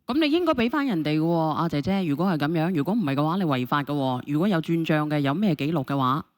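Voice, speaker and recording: A woman host works through a dispute with her callers. Her voice sits a little low and moves quickly, insistent and admonishing, recorded dry. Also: animated, no echo. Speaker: call-in show host. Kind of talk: mediating and questioning callers.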